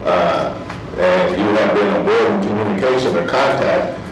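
Deep-voiced man chairing a hearing, distant and echoey. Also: music in the background. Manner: procedural, halting, repeats himself. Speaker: parole board hearing chair. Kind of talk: formal hearing questioning, unscripted.

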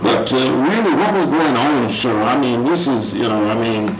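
A man's voice: mid-range voice, moderate pace. A man on a parole board asking questions at a hearing, formal and hesitant, full of fillers and frequent use of sir. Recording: echoey room with loud noise.

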